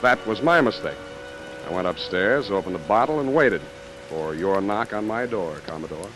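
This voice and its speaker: An actor playing a wry, unflappable American private detective in 1940s radio noir, deadpan and sardonic. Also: male voice, on the low side, moderate pace, lightly acted, rough recording. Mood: wry narrating. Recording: vintage radio recording, music and rain.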